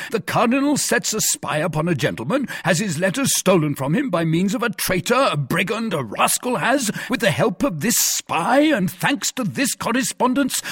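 Male narrator as blustering musketeer, self-satisfied, high-pitched, wildly swooping, theatrical, emphatic, storybook swashbuckler. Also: husky, dry recording, studio quality. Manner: loud, emphatic, theatrical exclamations.

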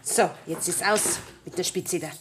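An actress playing a practical, wary Bavarian woman in a radio play, brisk and furtive, with a Bavarian accent. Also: lowish voice, very noisy, close to an everyday voice. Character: practical, wary Bavarian woman grabbing loot before fleeing. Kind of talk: radio play acting in dialect.

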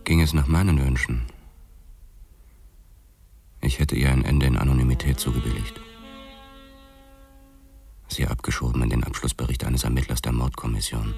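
An actor playing a weary police detective, narrating a murder case in sombre, deliberate confession for a radio drama. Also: male voice, a bass voice, little pitch movement, a little mellow. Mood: sombre, brooding. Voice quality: gravelly, husky. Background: faint music.

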